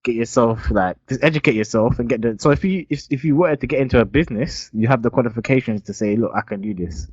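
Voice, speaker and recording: A man's voice: mid-range voice, fast, few pauses, husky, no room sound. A young man, telling a long story in casual podcast chat and tagging his sentences with "innit". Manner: rambling storytelling, tags "innit" often. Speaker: podcast co-host. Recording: dull lo-fi dry sound.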